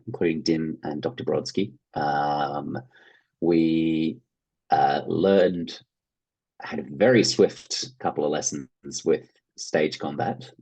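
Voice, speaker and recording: An Australian man who works as an actor, telling stories casually as an interview guest in an animated way. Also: rumbling low, breathy edge, poor audio. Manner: animated anecdote-telling, acting out quoted reactions.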